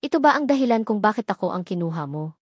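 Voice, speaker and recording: A woman's voice: medium pitch, expressive, fast, husky, no room sound, clean studio sound. A woman voicing a hurt, proud young maid in a story, emphatic and accusing. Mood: bitter accusation.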